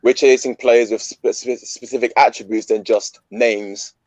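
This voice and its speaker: A man co-hosting a casual football debate, loud and repeating himself as he pushes his point. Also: medium pitch, steady tone, moderate pace, rough recording. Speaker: podcast co-host.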